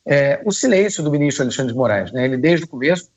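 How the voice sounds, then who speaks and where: A man with a cold giving measured radio news commentary, pausing often with hesitations. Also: mid-range voice, animated, lo-fi sound. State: hoarse from a cold. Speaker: political commentator.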